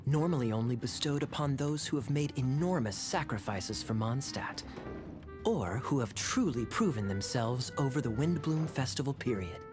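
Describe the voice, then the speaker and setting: An actor playing a suave, teasing young knight captain in a video game, smooth-talking and theatrical. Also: male voice, mid-range voice, expressive, normal speed, music in the background, close to an everyday voice. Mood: playful, genial.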